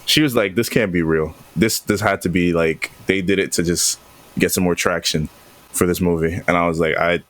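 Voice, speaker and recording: A man in relaxed, informal conversation as a podcast panelist. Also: low-pitched, moderate pace. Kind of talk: casual chat.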